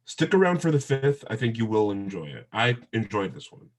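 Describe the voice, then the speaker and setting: A man co-hosting a podcast in casual chat, joking with a deadpan delivery. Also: fairly low pitch, brisk. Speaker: podcast co-host.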